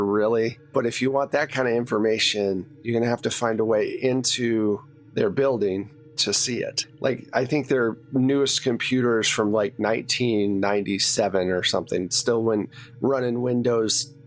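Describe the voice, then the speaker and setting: A man's voice: mid-range voice, steady tone, normal speed, hardly any pauses, soft background music, natural voice. Man playing a candid small-town morgue worker. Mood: casual, candid. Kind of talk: improvised tabletop roleplaying dialogue.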